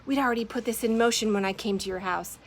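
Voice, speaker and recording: A bitter young man snarls his grievances, his voice loud and raw, as a woman narrator puts him on. The voice is low and gravelly at its edges, with a faint hiss behind it. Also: moderate pace. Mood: bitter, snarling. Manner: snarling, shrill outbursts.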